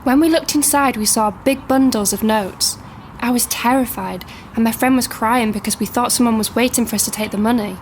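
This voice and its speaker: Woman acting frightened village schoolgirl, high pitch, excitable telling, faint background noise, audio drama. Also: a little fast, close to an everyday voice. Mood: scared, excited.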